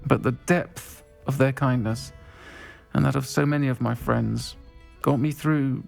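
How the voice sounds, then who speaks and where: An actor playing a kindly, reflective, grateful man in a video game, with a gentle, understated, articulate manner. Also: mid-range voice, fairly even intonation, a little slow, gravelly, soft background music. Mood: wistful, fond.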